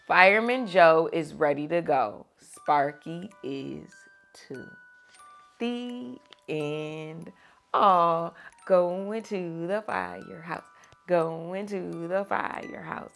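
Young woman, children's read-aloud host. Unhurried, teacherly, very animated intonation, music behind. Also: fairly low pitch, gravelly. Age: young adult. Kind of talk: expressive storybook read-aloud and chat to children. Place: small furnished room indoors.

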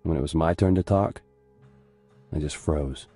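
A low, mellow young american squad leader in a war game, somber narration with music under it. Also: male voice, slightly rough, no room sound, full character voice. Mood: somber, reflective. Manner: measured, introspective. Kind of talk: scripted reflective narration.

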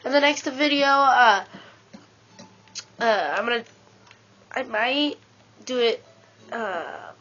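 Stuffy-nosed woman rambling over a game. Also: a little high. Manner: rambling, excitable, talks to herself. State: stuffy nose. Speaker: let's play gamer. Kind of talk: casual game commentary. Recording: dull lo-fi with game music.

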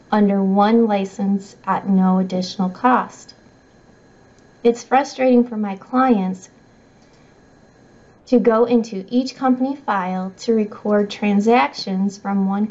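A middle-aged woman reads scripted tutorial narration in a measured, instructional way. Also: mid-range voice, dark tone. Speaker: software trainer. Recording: slightly dull, muffled audio.

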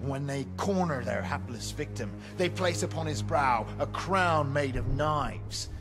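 An actor playing a surly, superstitious tavern keeper in a video game, ominous and dramatic. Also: male voice, medium pitch, expressive, unhurried. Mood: ominous warning. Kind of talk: video game cutscene acting. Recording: music underneath.

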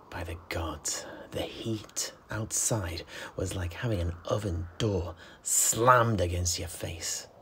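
A man playing a worldly tavern keeper, recounting adventures in a husky, breathy voice with measured dramatic pauses and conversational asides. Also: fairly low pitch, darkish tone, full character voice. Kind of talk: in-character fantasy storytelling.

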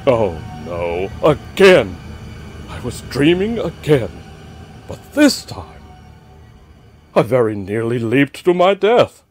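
A man's voice: mid-range voice, sing-song, slow, long pauses, darkish tone, soft background music. A man voicing a paranoid middle-aged king who swings between frantic cries and anxious muttering.

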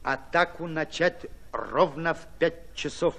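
An actor playing a brash, hot-tempered Red Army commander in a radio play, folksy, blunt and commanding. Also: male voice, a little high, slow, vocal fry, sharp tone, slight background noise, full character voice. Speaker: actor in an audio drama. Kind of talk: Soviet-style radio play acting.

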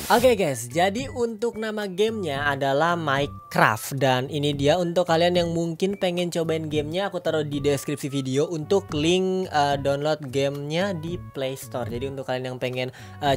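A young man with a stuffy nose from a cold, chatting casually and upbeat as he reviews a mobile game at home. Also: fairly high pitch. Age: young adult. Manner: chatty and upbeat, lots of fillers. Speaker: gaming YouTuber. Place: home room with gaming chair.

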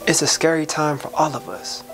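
A woman's voice: low voice, fairly quick, over music. A woman delivering an inspirational spoken-word soliloquy in an impassioned, preacher-like way, with folksy, colloquial phrasing.

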